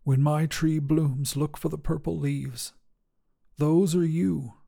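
A man voicing a dying father in a narrated story, weak and loving, with a labored, raspy, wheezing voice. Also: fairly high pitch, steady tone, takes it slowly, mellow, pitched above the performer's own voice, exaggerated voice. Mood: tender, labored.

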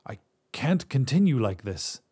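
A man's voice: very animated, a little slow, mellow, husky. A man doing a weary pleading god. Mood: desperate. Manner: pleading, booming at first. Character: tall pale ancient male god, desperate and weary.

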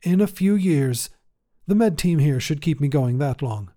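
Guy doing a very old lady starship commander, mellow n soft-toned, calm, formal, bit wistful, scifi audiobook. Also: on the high side. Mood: calm, resolute. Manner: measured, formal, gently wistful. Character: poised two-hundred-year-old woman space station commander, serene. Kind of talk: acted dialogue in science fiction story reading.

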